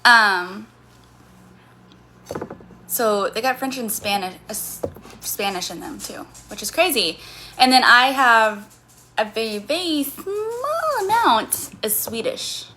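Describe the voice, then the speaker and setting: A woman's voice: a little high, very animated, slow, long pauses, bright, forceful tone, slight background noise. A woman in her thirties from Missouri with a slight Missouri accent, chatting casually on a livestream and answering chat comments in a rambling way. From Missouri, USA.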